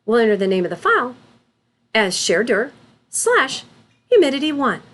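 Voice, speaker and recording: A woman narrating a scripted tutorial as an instructor, in a deliberate, instructional manner. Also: fairly high pitch, very animated, fairly bright tone. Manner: deliberate, instructional, spells out terms letter by letter. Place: voice-over for a screen recording.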